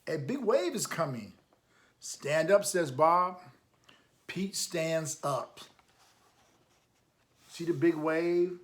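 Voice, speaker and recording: Male children's storytime host, voice a touch high, animated read-aloud delivery with encouraging asides straight to the listener. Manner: animated, encouraging, repeats key phrases, chats to the listener. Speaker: host of a children's storytime, youth club coach.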